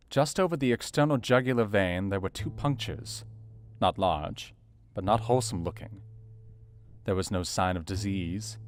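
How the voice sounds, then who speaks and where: A man playing a serious, anxious Victorian doctor, narrating his diary in a measured, formal literary manner for an audio drama. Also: on the low side. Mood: puzzled, observant. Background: faint plucked guitar music.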